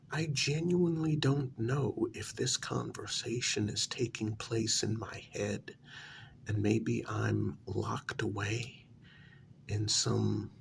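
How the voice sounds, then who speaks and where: A man playing an eerily calm asylum patient in a soft ASMR role-play, breathy and gravelly, with a measured, hesitant, quietly condescending delivery. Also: medium pitch, a little hiss or hum. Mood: pensive, unsettled. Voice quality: gravelly, breathy, half-whispered. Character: eerily calm male asylum patient who believes he creates reality.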